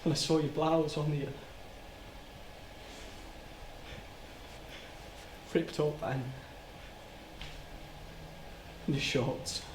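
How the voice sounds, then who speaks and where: A man's voice: fairly high pitch, close to an everyday voice. Man acting guilt-ridden working-class man, echoey stage. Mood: hushed dread. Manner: halting, plain-spoken, repeats and stumbles over words. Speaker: stage actor. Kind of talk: live theatrical monologue. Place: indoor soundstage. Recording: roomy, noisy soundstage livestream.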